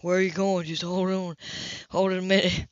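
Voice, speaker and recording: A woman's voice: on the low side, level tone, normal speed, no room sound, lo-fi sound, pitched below the performer's own voice, close to an everyday voice. A woman voicing a blunt, impatient, hot-headed male racer in an audiobook romance. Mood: irritated, defensive. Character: hot-headed male racer, gruff, defensive, earnest lover.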